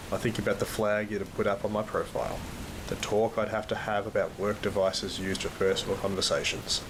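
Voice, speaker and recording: An actor playing a weary young field worker who narrates a bleak audio drama in a sombre, literary manner. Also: male voice, on the low side, noisy background, natural voice. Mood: wistful. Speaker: narrator and lead character. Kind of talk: first-person audio drama narration and dialogue.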